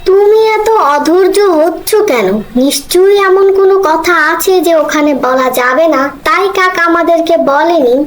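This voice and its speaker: A woman's voice: extremely high pitch, moderate pace, hardly any pauses. A woman playing a small, anxious, trusting forest bird in an animal fable cartoon, speaking in an earnest, plain way. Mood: calm, chiding.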